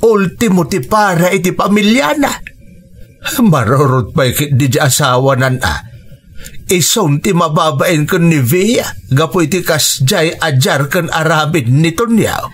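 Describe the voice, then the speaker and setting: A man playing a middle-aged, concerned rural father in a radio drama, speaking with an Ilocano accent and drawn-out emotive delivery over music. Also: on the high side, animated, breathy edge. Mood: concerned, reproachful. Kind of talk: Filipino radio drama acting.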